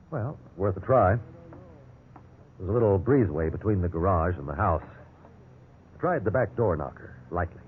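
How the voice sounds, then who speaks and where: A man's voice: sits low, narrow, tinny audio. An actor playing a respectable, wrongly accused businessman telling his story, earnest and conversational, in 1950s radio drama style. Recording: thin band-limited 1950s radio recording, hiss.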